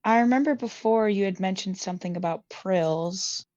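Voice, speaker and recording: A woman's voice: mid-range voice, moderate pace, a little mellow, no room sound, dull audio. A young woman chatting casually as a player in a tabletop roleplaying game. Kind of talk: casual tabletop game chat with in-character lines.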